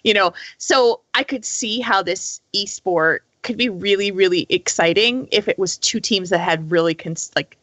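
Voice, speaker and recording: Female gaming podcast panelist, bright voice, dry close sound, chatty casual storyteller. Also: mid-range voice, normal speed, few pauses, no room sound.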